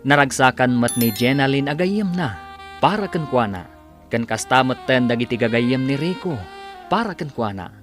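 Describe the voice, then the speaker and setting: A man's voice: mid-range voice, a bit bright. A man with an Ilocano Filipino accent reading scripted, dramatic narration as a radio drama announcer. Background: music.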